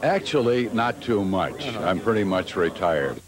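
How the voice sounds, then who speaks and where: A man's voice: sits low, big pitch swings, a little slow. A man in his seventies, a retired talk show host, giving reflective interview answers. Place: busy restaurant. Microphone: handheld interview microphone. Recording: clear crowd noise behind.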